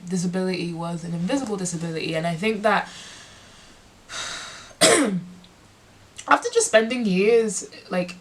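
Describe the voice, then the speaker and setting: A young woman speaking candidly in a personal vlog, congested and sniffly, reflective and filling pauses with lots of "like". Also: lowish voice, poor audio. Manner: reflective, heavy use of "like" fillers. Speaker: musician and performer.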